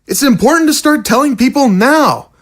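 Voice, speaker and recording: A woman as a blunt, animated young man. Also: high voice, normal speed. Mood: indignant, pleading. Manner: blunt, colloquial. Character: indignant, blunt young undead man, a zombie escapee. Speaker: voice actor. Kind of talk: scripted audio drama acting.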